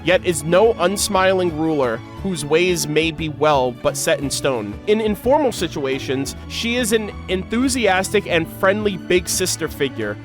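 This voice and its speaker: A man's voice: a little high, expressive, measured pace, few pauses, music in the background. A man doing casual reaction commentary and reading game lore aloud, as an enthusiastic fan who uses slang. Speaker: gaming reaction video creator.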